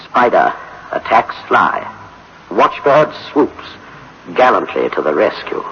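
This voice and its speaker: An actor playing an urgent, dramatic narrator in a 1960s science fiction radio drama. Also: male voice, lowish voice, very animated, slow, fairly bright tone, exaggerated voice. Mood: urgent, intense. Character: urgent, forceful male narrator of machine warfare. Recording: muffled old radio, faint noise.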